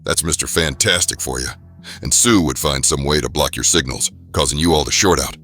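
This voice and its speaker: A very deep, forceful comic book bruiser made of rock, big-hearted and cocky. He talks in New tough-guy slang with a gruff edge, over music. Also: a little fast, heavily acted. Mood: taunting. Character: gruff, wisecracking rock-skinned superhero brawler, big and good-hearted.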